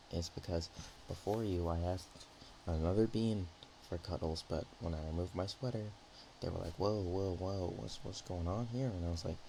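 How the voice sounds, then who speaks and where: A man playing a naive, nervous, affectionate dragon in a roleplay ASMR, talking in a hesitant, rambling, halting way. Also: low-pitched, creaky, mellow, a little hiss or hum, low-quality recording. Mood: bashful, rambling.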